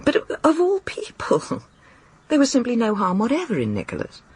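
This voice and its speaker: A woman's voice: on the high side, wildly swooping pitch, moderate pace, slightly rough, full character voice. An actress playing a poised, tart middle-aged female academic in a radio drama, cool and direct.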